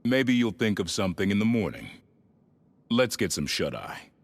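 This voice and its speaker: An actor playing a gruff, laid-back older adventuring companion in a video game, with a husky voice. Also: male voice, lowish voice, sing-song, normal speed. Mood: calm.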